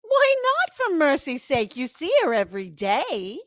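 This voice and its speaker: A woman's voice: high-pitched, wildly swooping pitch, a little slow, exaggerated voice. A woman playing a nagging, encouraging mother of a grown son in a vintage-style radio play.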